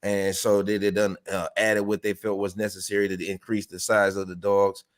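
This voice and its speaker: A man's voice: on the low side, level tone, normal speed. A man who co-hosts a casual interview, recounting things in a rambling, hesitant way. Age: 40s.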